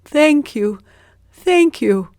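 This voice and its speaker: A woman's voice: high-pitched, lively intonation, very slow, a little mellow, no room sound, clean studio sound, pitched above the performer's own voice, exaggerated voice. A woman voicing a poor, hungry man who begs politely and pleadingly in a bedtime story. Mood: grateful.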